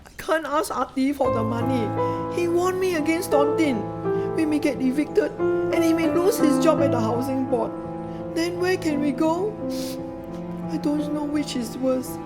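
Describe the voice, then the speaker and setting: An actress plays an anxious middle-aged mother in a radio play. Her high, earnest voice has a Singaporean accent and sits over prominent music. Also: a little slow. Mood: tearful, worried. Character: distressed, humble mother and tontine collector. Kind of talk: radio drama acting.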